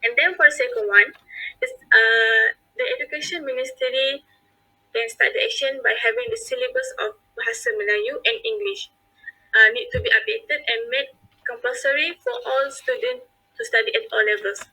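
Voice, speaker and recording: A woman's voice: a little high, expressive, normal speed, sharp tone, poor audio. A young Malaysian woman presenting prepared points as a forum panelist, with hesitant fillers and restarts.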